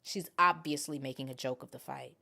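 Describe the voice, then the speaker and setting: A woman's voice: low-pitched, brisk. A woman hosting a commentary channel, talking casually and emphatically to viewers in slangy language, repeating her points and acting out quotes. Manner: animated retelling, acting out quotes; informal slangy talk with mild swearing. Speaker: host of an MMA commentary channel.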